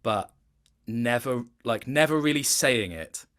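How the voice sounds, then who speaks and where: A man's voice: medium pitch, lively intonation, unhurried, fairly bright tone. A man chatting casually as an interview guest, reflective and hesitant as he thinks aloud. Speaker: guest, game voice actor.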